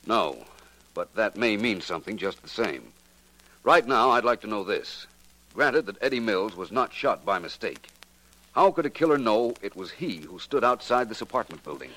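An American man acting a suave, unruffled private detective in a 1940s radio drama, urbane and coolly deliberate. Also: on the low side, sing-song, pushed and bright, no echo, exaggerated voice, poor audio. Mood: cool, probing. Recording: old 1940s radio recording.

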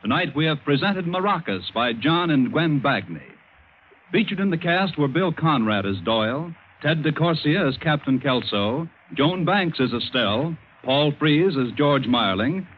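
American vintage radio announcer, muffled recording. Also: male voice, on the high side, sing-song, normal speed. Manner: formal, polished delivery. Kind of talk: 1940s-50s network radio announcing. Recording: muffled old radio, faint noise.

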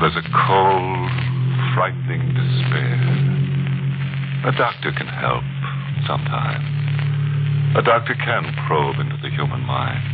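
An actor playing a sardonic, ghoulishly jovial host of a 1940s radio mystery, narrating in a theatrical, mock-sinister way. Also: male voice, a bass voice, noisy background, exaggerated voice. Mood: ominous. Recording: old muffled radio recording, organ music.

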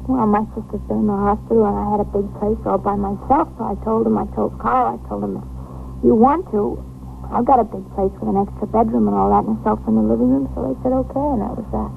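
Casting: evasive, nervous young American female witness, gravelly edge, colloquial speech, vintage radio crime drama with thin, slightly noisy sound. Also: medium pitch, steady tone, quick pace. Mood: confiding, hesitant. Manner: defensive, hesitant, colloquial. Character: nervous, evasive young cafe waitress under interrogation. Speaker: witness under police questioning. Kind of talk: 1950s radio drama acting. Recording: noisy, tinny 1950s radio recording.

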